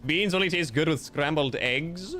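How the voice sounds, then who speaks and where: A man's voice: on the high side, normal speed, sharp tone. A man streaming live, reading out viewer comments in a playful, theatrical way with mock-grandiose joking. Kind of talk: casual livestream chat reading viewer comments.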